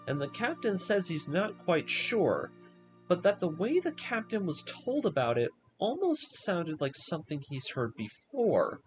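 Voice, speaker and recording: A man with a slightly high voice tells a story as scripted recap narration for a fan video essay. His delivery is dramatic and very animated, swinging widely in pitch. Music plays clearly behind him. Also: poor audio. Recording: voice over background music.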